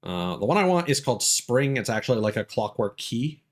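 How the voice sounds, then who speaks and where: A man's voice: mid-range voice, big pitch swings, fairly quick. A man doing casual live gameplay commentary as a streamer, thinking aloud as he plays. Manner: thinks aloud, mild swearing. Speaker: video game live streamer.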